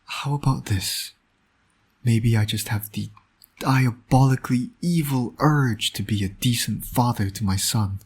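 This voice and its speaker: Man acting a husky, teasing supervillain. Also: medium pitch, measured pace. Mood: coaxing, wry. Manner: smug, teasing, sardonic. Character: teasing, flirtatious male supervillain, doting secret father. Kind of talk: intimate roleplay audio drama monologue.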